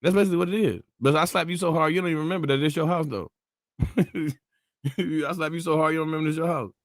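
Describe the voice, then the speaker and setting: Animated man, dry close sound. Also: a little high, fast, dry recording. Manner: animated, laughs between points. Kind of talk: casual conversation and reading aloud.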